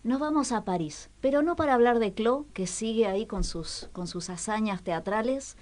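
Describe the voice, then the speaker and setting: Woman, radio culture co-host, medium pitch, conversational, unscripted, thinking aloud. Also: normal speed, darkish tone. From lived in France, half French.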